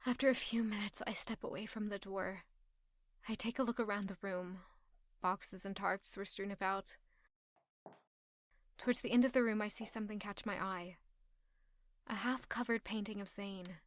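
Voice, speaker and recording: A woman's voice: medium pitch, fairly even intonation, moderate pace, long pauses, no echo, studio quality, natural voice. A woman acting a hurt, stubborn young woman in an audio drama, with an intense, emotional delivery. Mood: hushed, sorrowful.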